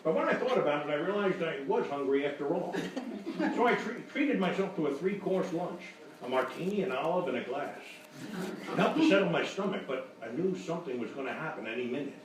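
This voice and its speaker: Man as a hard-boiled private eye, noir narration, blustering deadpan, radio comedy, very echoey distant live recording. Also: on the high side, a little fast, a little hiss or hum, lightly acted. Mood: wry, flustered. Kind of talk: parody of old radio detective drama.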